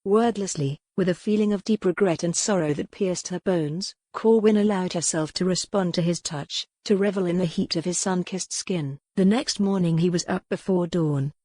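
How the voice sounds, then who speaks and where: Low-voiced woman narrating, very dry. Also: normal speed, few pauses, dark tone, no room sound. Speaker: audiobook narrator. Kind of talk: scripted romance narration. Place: dry, close recording space.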